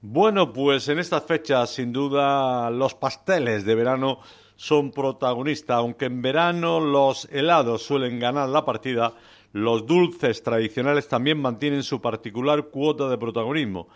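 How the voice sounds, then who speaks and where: A man presenting the news in a calm, even, scripted way. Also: mid-range voice, normal speed. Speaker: news anchor.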